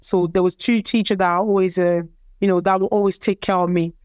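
A woman's voice: on the low side, fairly even intonation, a little fast, a little mellow, smooth, no echo. A young woman from Cameroon whose first language is French, telling her story in a casual interview, hesitant and repeating words with many fillers. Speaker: interviewee, athlete.

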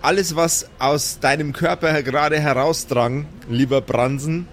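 A young male game master, animated and a bit high-voiced, narrating in bursts with many restarts. Faint noise hums behind. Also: a little slow. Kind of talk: improvised narration and table chat.

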